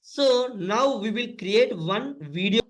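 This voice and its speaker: A man's voice: high-pitched, animated, slow, low-quality recording. A man instructing in a step-by-step coding tutorial, often repeating and spelling out terms.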